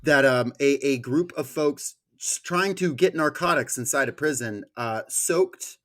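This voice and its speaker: A man's voice: mid-range voice, unhurried, fairly bright tone. A man hosting a podcast in a casual, slangy, joking way. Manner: informal, slangy, jokey. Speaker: podcast host.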